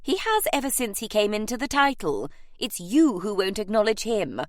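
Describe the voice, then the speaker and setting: A woman's voice: medium pitch, big pitch swings, moderate pace, dry recording, clean studio sound, voice pushed up, natural voice. A woman voicing an imperious, airy older aristocratic English aunt in an audiobook, emphatic and grandly dismissive. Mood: pointed, tart. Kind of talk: audiobook character acting.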